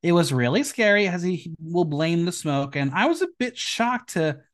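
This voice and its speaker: Animated, higher-pitched man recapping with flair. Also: normal speed. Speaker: podcast host. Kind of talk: casual episode recap commentary with rhetorical questions.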